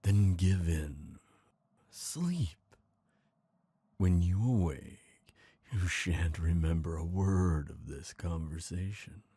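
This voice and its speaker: A man voicing a smooth, scheming traitor prince, gloating and seductive, in an intimate fantasy ASMR roleplay. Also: low voice, animated, mellow, dry recording, heavily acted. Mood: coaxing, commanding. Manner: slow, intimate, theatrical villain delivery.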